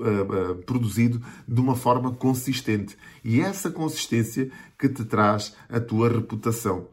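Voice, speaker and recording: An earnest man in his forties with a European Portuguese accent talks straight to his viewers as a marketing mentor. His voice sits at an ordinary middle pitch, and he stacks his points in steady repeated lists. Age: 40s. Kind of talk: motivational talk to camera.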